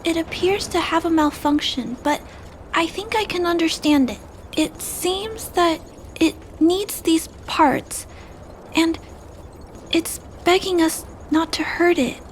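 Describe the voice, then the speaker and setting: A woman playing a gentle, compassionate little girl in a video game, hesitant and soft-hearted as she speaks. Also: very high voice, slow pace, slight background noise. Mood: curious, gentle. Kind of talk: video game character acting. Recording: music under the voice.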